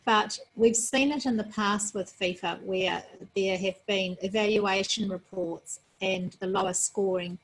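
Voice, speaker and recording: A woman from New Zealand, a sports federation president, talking as an interview guest in a measured, earnest way, tired after being up all night. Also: mid-range voice, fairly even intonation, poor audio.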